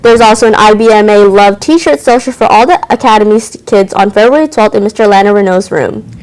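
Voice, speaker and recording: A teenage girl anchoring a school news show, reading announcements briskly with occasional stumbles. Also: medium pitch, darkish tone, poor audio. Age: teens.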